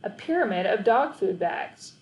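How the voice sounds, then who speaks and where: Lady doing a clipped gruff boss guy from a kids book, kinda softening, roomy. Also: mid-range voice, animated, normal speed, lightly acted. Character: gruff but softening grown man, store boss.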